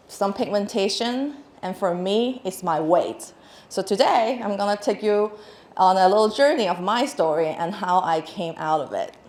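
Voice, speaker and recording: A woman's voice: medium pitch, darkish tone. A woman in her thirties from Hong Kong, with a Hong Kong accent, giving a casual talk on stage with lots of fillers and restarts. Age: 30s. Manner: chatty, laughing, many fillers and restarts. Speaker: speaker. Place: large hall with audience. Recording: echoey hall.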